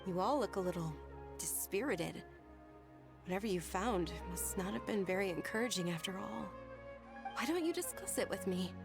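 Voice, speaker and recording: A soft-spoken young noblewoman. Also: medium pitch, animated, moderate pace, music in the background. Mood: calm. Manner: soft-spoken and earnest, with hushed breathy moments. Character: gentle, thoughtful young noblewoman.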